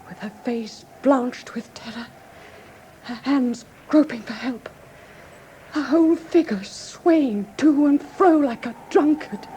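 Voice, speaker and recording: High-voiced, anxious English gentlewoman. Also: slight background noise. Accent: English Received Pronunciation. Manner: genteel, earnest, precise diction. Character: refined, anxious young woman recounting her sister's death. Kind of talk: classic British radio drama acting.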